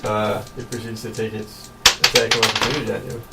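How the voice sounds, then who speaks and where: A man's voice: fairly low pitch, level tone, moderate pace, gravelly, sharp tone, distant mic. A man running a tabletop role-playing game for friends, joking and laughing between rulings. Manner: laughs often, loose joking delivery. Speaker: game master.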